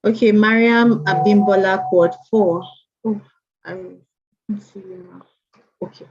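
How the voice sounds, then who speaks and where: A woman's voice: medium pitch, steady tone, unhurried, darkish tone, slight background noise. A woman hosting an online mentorship session, greeting attendees by name and repeating welcomes. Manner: chatty, repeats greetings, reads names aloud. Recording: dull lo-fi stream audio.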